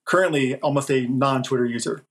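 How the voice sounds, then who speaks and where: A man's voice: medium pitch, fairly quick. A man speaking as a guest editor on a podcast, talking in a thoughtful, loose, conversational way. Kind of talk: casual discussion.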